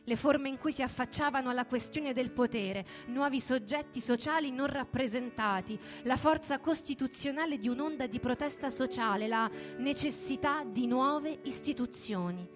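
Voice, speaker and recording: High-voiced woman narrating over music. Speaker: performer reading a narrative text. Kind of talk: dramatic scripted narration for radio theatre. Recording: music playing behind the voice.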